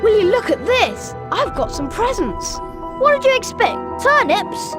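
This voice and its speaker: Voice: very high-pitched, big pitch swings, unhurried, music in the background, heavily acted. An actor voicing an eager, friendly young schoolboy wizard in a video game. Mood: excited. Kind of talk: video game character acting.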